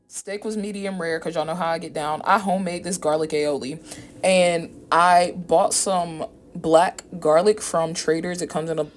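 An American woman giving a casual, chatty voice-over for a home cooking vlog, informal and slangy. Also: low voice. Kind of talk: casual voice-over narration of a recipe. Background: background music.

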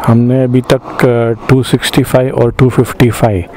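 A man's voice: mid-range voice, flat delivery, moderate pace. A man in his forties vlogging a long motorcycle ride in a loose, chatty way, full of fillers, on an action camera outdoors. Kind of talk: casual travel vlog narration. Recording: loud road and wind noise.

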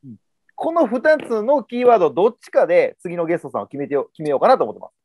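Man, Kansai accent, host, video call, quick talker, dry close sound. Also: high voice, no echo. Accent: Kansai Japanese. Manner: relaxed, summarizing, gestures while talking. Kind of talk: casual video-call interview. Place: indoors at home.

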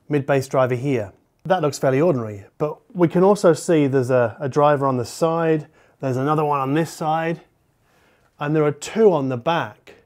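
A man's voice: on the high side, darkish tone. A man in his forties explaining a product to camera as a reviewer, step by step and in informal phrasing. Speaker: audio reviewer, presenter. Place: medium living-room listening space, indoors.

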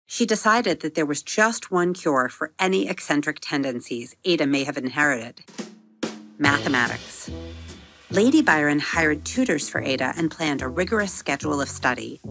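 A woman with a notably low voice narrates a children's bedtime story gently and expressively. Soft music plays clearly beneath her words. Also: lively intonation, moderate pace. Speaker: podcast host and storyteller.

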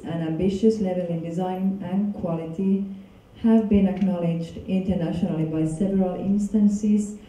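Woman lecturer, from Finland, low mellow voice, roomy distant hall sound. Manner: halting, casual, many fillers and restarts. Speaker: guest lecturer. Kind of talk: public lecture with interpreter. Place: large dark lecture hall. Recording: echoey hall from far off.

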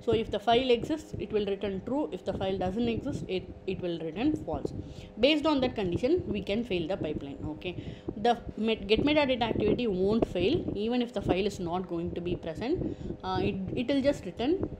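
A woman presenting an informal software tutorial, explaining step by step and repeating her points. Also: medium pitch, animated, brisk, noisy background.

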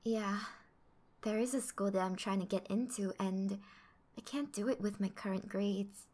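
A woman playing a friendly, sporty schoolgirl confiding in her crush, speaking softly and half-whispering in an ASMR roleplay. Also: medium pitch, moderate pace, close to an everyday voice. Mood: warm, earnest. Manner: soft, half-whispered, casual.

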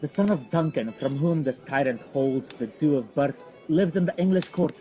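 A man playing a mellow, earnest nobleman. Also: fairly high pitch, muffled recording, natural voice. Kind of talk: radio drama acting.

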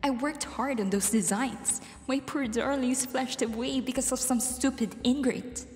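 A woman playing a rich, scornful, sharp-tongued boss in a radio drama, haughty and indignant. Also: sits high, steady tone, measured pace. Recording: echoey reverberant hall.

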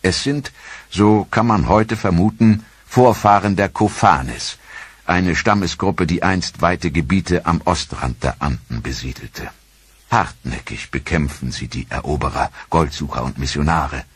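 Male documentary narrator, very low, husky voice, dry studio sound, measured delivery. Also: dry recording. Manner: measured, sober storytelling. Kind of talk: scripted narration.

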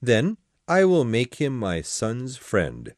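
A man voicing a proud, ambitious aristocrat in an audiobook, brisk and commanding. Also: mid-range voice, huge pitch swings, no echo, clean studio sound.

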